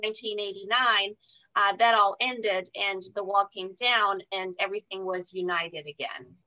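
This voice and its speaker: Bright-voiced German woman telling her story. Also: medium pitch, fairly even intonation, moderate pace, low-quality recording. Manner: storytelling with many fillers. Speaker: guest. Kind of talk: conversational interview.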